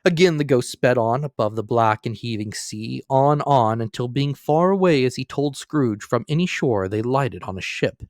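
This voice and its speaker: A man's voice: mid-range voice, animated, normal speed, few pauses, dry recording, clean studio sound. Man giving a theatrical storybook reading. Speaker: narrator. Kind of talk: dramatic reading of a classic novel.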